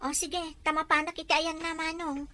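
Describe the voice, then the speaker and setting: A woman's voice: high-pitched, little pitch movement, normal speed, bright, forceful tone, a little hiss or hum. A woman with a Filipino accent playing an anxious young daughter in an Ilocano audio drama. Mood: worried. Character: anxious young daughter fretting over her mother.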